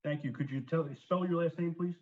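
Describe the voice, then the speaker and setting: A man handling procedure at a zoning hearing, brisk and matter-of-fact, swearing in witnesses. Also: fairly high pitch, fairly even intonation, a little mellow. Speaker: board attorney administering the oath. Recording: dull lo-fi audio from far off.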